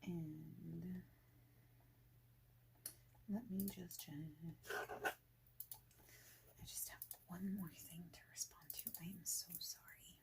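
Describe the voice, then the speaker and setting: A woman speaking softly and breathily, often in a whisper, in a gentle, informal ASMR roleplay as a friendly boss. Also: on the low side, fairly even intonation, slow pace, creaky, long pauses, noisy background. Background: clicking of keyboard and small handled objects.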